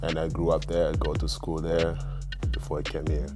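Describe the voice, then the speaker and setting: Young male player from Tanzania, very deep, relaxed; music clear underneath. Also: moderate pace. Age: young adult. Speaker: college basketball player.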